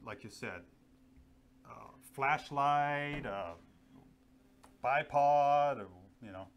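A man's voice: mid-range voice, extremely slow, slight background noise. Easygoing middle-aged man in studio. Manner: easygoing, many fillers, enthusiastic. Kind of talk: casual product chat. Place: small indoor studio with guns on wall. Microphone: lapel.

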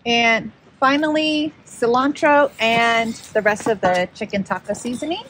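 Chatty 30s woman explaining camp cooking outdoors, kinda high voice, faint wind behind. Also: measured pace, a bit bright, rough recording. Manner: chatty, light laughs while explaining. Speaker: camp cook, co-host. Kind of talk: casual cooking vlog. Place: outdoor mountain campsite.

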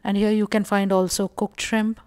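A woman from India narrating a casual shopping walkthrough vlog, calm and explanatory with frequent pauses and fillers. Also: mid-range voice, smooth, no echo. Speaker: vlogger.

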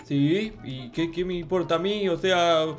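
A performer playing a naive, excitable boy in a Minecraft roleplay skit, stammering and repeating words with sudden exclamations. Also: medium pitch, measured pace, lightly acted. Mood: puzzled, whiny. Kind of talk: improvised gaming roleplay skit. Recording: music behind the voice.

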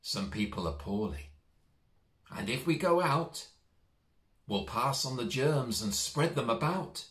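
Kind, dutiful nurse for a children's story, read by a man, lifted pitch, reassuring, roomy sound. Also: lively intonation, slow pace, long pauses, fairly bright tone. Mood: gently instructing.

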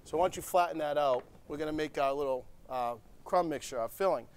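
Chef explaining a dish, easygoing. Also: male voice, a little high, a little fast, background noise. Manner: easygoing, explains step by step with frequent "okay?". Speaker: restaurant chef. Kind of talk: cooking demonstration. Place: TV studio kitchen set.

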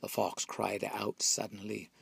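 A man narrating a children's storybook in an expressive, dramatic way. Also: fairly low pitch, a little slow, creaky, husky, no echo. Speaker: storybook reader.

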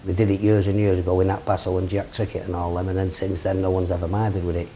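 Plain-spoken promoter, deep voice. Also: male voice, monotone, fast, mellow, a little hiss or hum. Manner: plain-spoken, rambling lists. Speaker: boxing promoter. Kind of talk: seated interview. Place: office with framed memorabilia. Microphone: lapel.

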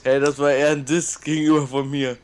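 A man's voice: on the high side, flat delivery, fast talker, a little mellow, smooth. A young man chatting casually with friends over an online game, chatty and self-mocking. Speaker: gamer. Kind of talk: casual gaming chat with friends.

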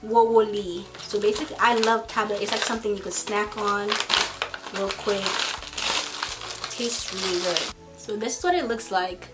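A young woman from Haiti with a Haitian accent, chatting casually to camera in her bedroom. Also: mid-range voice. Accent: Haitian Creole. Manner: chatty, laughs easily, drops to soft asides. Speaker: YouTuber. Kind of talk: casual vlog chat. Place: bedroom, indoors. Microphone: camera in front.

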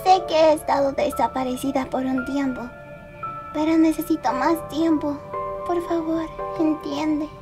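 A woman's voice: sits high, slow, music in the background. A woman with a Latin American accent playing an earnest, anguished teenage superheroine in a comic fandub, speaking dramatically. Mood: pleading, weary. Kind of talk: Spanish-language comic fandub acting.

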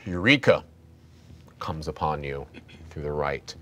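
Deep-voiced man narrating horror. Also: lively intonation, a little slow, fairly bright tone, slight background noise. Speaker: game master. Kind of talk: improvised horror narration for a tabletop game. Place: indoor studio set dressed as a bunker.

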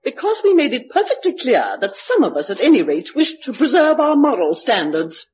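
An actress playing a prim, morally rigid community leader in a radio drama, with a brisk, officious, clipped manner. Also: female voice, high-pitched, huge pitch swings, slightly rough, highs cut off, exaggerated voice. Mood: stern, disapproving.

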